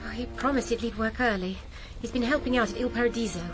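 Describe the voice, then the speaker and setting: A woman's voice: fairly high pitch, quick pace, music in the background, lightly acted. An actress playing a fretful, apologetic well-to-do mother in a radio drama, fussy and flustered.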